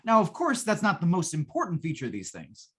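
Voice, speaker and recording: A woman's voice: on the low side, huge pitch swings, brisk, slightly rough, full character voice. A woman playing a folksy, long-suffering salesman in a 1950s-style radio drama, giving colloquial, patient sales patter. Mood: eager, explanatory.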